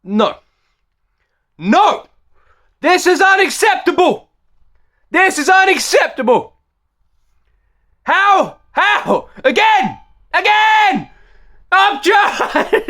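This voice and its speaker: This reaction host has a strikingly high voice with a bright, forceful edge. He chats straight to his audience and echoes his own phrases. His excitement breaks into loud, incredulous outbursts, and his voice is wearing hoarse. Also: very slow, long pauses. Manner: excitable, bursts into loud disbelieving shouts; talks straight to viewers, repeats phrases. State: voice going hoarse. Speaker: music reaction video host. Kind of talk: reaction vlog chat.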